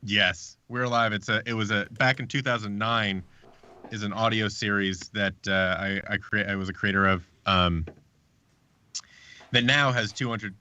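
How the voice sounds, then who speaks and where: A man's voice: fairly low pitch, fairly even intonation, moderate pace, pushed and bright, muffled recording. A man in his thirties chatting casually as a guest in a live interview, often restarting his sentences as he explains. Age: 30s. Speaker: audio drama producer, interview guest.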